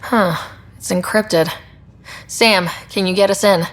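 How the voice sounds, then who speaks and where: A woman's voice: medium pitch, fairly even intonation, slow pace, close to an everyday voice. A woman playing a decisive human space explorer and leader in a video game. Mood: focused.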